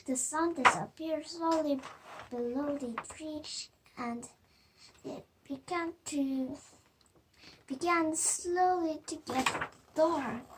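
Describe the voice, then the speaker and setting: High-pitched young girl reading slowly. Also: noisy background. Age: child, about 7. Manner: halting, deliberate reading with stumbles. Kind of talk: reading a children's storybook aloud.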